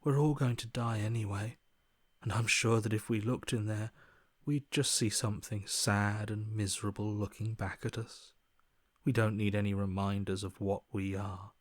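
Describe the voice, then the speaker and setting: A broken inner voice from a video game, low and very dry in sound. He is a defeated fatalist, mournful and resigned, his words subdued and trailing into breath. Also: no echo. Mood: miserable, pleading. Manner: resigned, mournful, bitterly pessimistic. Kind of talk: dramatic video game voice acting.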